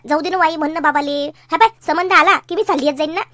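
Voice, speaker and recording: A woman's voice: high-pitched, fairly even intonation, fast, fairly bright tone, no echo. A woman playing a pleading, stubborn young daughter in a cartoon comedy, with a Varhadi Marathi accent and a whiny, argumentative manner.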